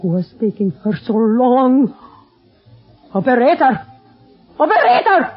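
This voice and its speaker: A high-pitched, imperious opera diva, middle-aged and desperate, pleading and commanding with melodramatic urgency. It sounds like a muffled old 1940s radio drama. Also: female voice, big pitch swings, a little slow, husky, soft background music, heavily acted. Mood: frantic. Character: desperate, scheming opera singer, mother of a grown daughter. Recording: dull vintage radio recording.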